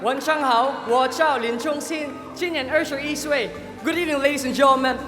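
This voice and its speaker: A man in his twenties from the Philippines, loudly introducing himself as a pageant contestant. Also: extremely high pitch, steady tone, a little fast. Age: 20s. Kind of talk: loud staged self-introduction. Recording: reverberant hall, music and crowd behind.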